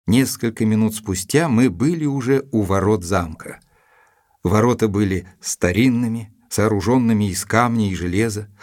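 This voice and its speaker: An actor playing a gentleman narrator of a mystery tale, measured and expressive, in a literary audio drama. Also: lowish voice, no echo. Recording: dry studio with music underneath.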